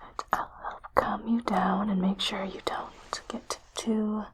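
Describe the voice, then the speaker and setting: A woman playing a calm, reassuring sci-fi doctor in a hushed, soothing whispered ASMR roleplay. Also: medium pitch, natural voice. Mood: gentle reassurance. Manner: slow, soothing, hushed delivery. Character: calm, reassuring female doctor on an alien-planet expedition.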